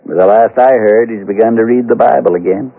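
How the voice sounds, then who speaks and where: An actor playing a grave, knowing Westerner, measured and folksy, in a 1970s radio western. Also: male voice, lowish voice, lightly acted. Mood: sombre, reflective. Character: knowing, grave Westerner explaining a grim tale. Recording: tinny, narrow-band vintage radio recording.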